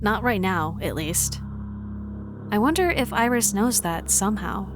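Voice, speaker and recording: A woman playing a wary, determined traveler, giving measured, introspective first-person narration in a visual novel fan dub. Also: medium pitch, sing-song, noisy background. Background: music.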